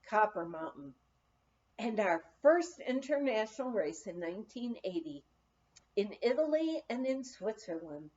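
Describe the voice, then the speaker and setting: A woman narrates a memoir from a script in a fairly high, mellow voice. She goes slowly, with very animated intonation. The recording is a little dull. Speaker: audiobook reader.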